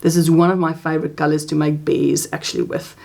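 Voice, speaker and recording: Female crafting host, Afrikaans first language, low gravelly voice, chatty and meandering. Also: level tone, quick pace, darkish tone. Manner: chatty, rambling with many restarts and fillers. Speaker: crochet channel host. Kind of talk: casual crafting chat.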